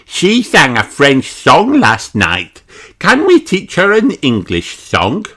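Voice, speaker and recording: A storyteller voicing a cheerful little girl piglet, bright and bouncy. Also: medium pitch, huge pitch swings, slow, voice pushed up, heavily acted. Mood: excited.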